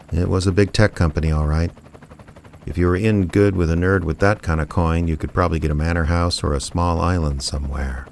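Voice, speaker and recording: Casting: male actor as deep-voiced American gumshoe for radio play, wry, plainspoken, persistent, clear noise in background. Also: a little mellow. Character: wry, persistent male small-time private investigator. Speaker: lead radio drama actor. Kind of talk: audio drama dialogue and first-person detective narration.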